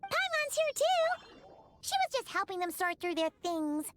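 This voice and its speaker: An actress voicing a tiny, excitable fairy companion in a video game, with a squeaky, bubbly, exclamatory voice. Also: female voice, a little slow, slight background noise, heavily acted. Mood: cheerful, excited.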